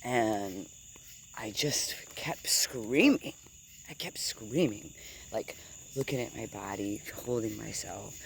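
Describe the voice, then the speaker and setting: A deep-voiced woman in her thirties telling a rambling, digressive story while walking outdoors, swearing casually and sometimes dropping to a whisper. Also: takes it slowly, vocal fry, slight background noise. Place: outdoors on grass at night with insects.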